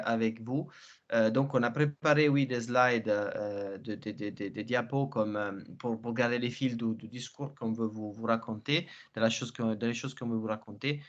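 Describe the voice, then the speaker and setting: A man's voice: mid-range voice, fairly bright tone. A man in his thirties with an Italian accent, a researcher presenting informally and hesitantly on a video call. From Italy. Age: 30s. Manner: hesitant, halting, repeats words. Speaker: researcher, team leader presenting. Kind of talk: informal online science presentation. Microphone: video call microphone. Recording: muffled video-call audio.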